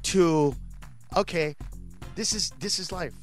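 An animated man in his forties, a stand-up comic born in Honduras, talking through an interview and jumping into loud, shouted bits. His voice sits a little high for a man, with faint music playing under the conversation. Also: slow pace. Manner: animated, rising to shouts when acting out bits. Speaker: stand-up comedian, guest. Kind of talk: casual interview chat.

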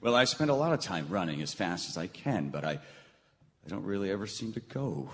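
Halting, wistful low-voiced guy in a hall. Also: animated. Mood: self-deprecating. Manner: hesitant, halting, stammering. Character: awkward, wistful male radio business host back home. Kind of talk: live radio theatre comedy acting. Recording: hall reverb, stage recording with noise.

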